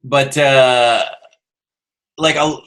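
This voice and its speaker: A man's voice: medium pitch, fairly even intonation, very slow, fairly bright tone. An American man hosting a casual interview, bantering and joking as he asks questions. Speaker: podcast host.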